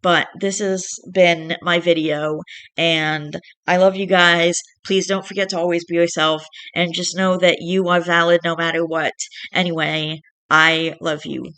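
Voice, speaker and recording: Young man, raspy, dry-throated, gravelly, flat delivery, casual reading. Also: fairly high pitch, unhurried, no echo. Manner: rambling, self-correcting chat. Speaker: channel host reading fiction. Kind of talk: storybook reading, then casual vlog chat.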